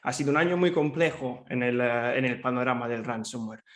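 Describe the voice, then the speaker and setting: Young Italian-accented man, mid-pitched, relaxed conference presenter who talks with plenty of fillers and restarts. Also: a little fast. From Italy, Rome. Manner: friendly and chatty, with many fillers and repeated restarts. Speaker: cyber threat intelligence analyst presenting at a summit.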